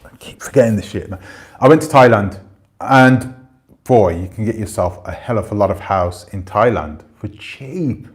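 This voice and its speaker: Man, 40s, casual livestream host; low-pitched, loose and chatty. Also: normal speed. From Jamaican family roots. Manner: rambling, relaxed, swears casually. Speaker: channel host. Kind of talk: casual livestream chat reading comments.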